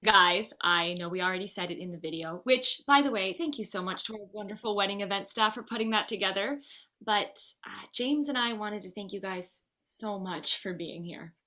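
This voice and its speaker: A woman's voice: mid-range voice, normal speed, pushed and bright, natural voice. A woman playing an upbeat, eager bride-to-be in a screenplay table read, gushing and reassuring. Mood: excited.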